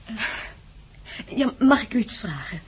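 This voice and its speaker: An actress playing a worried, polite wife in a radio drama, hesitant and anxious. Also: female voice, a little high, big pitch swings, unhurried, a little hiss or hum. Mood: anxious questioning.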